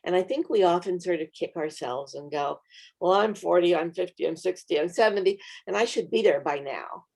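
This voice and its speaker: A woman's voice: lowish voice, fairly quick, vocal fry, darkish tone, slightly rough. A middle-aged woman co-hosting a Bible study lesson, talking in an earnest, encouraging way and offering practical tips to group leaders. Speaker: Bible study author and co-host. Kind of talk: casual teaching conversation.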